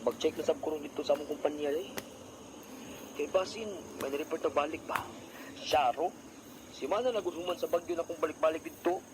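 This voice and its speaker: A man's voice: on the high side, brisk, background noise, natural voice. A man acting an aggrieved working husband in a radio drama, earnest and easily upset, with a Cebuano Filipino accent. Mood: weary, concerned.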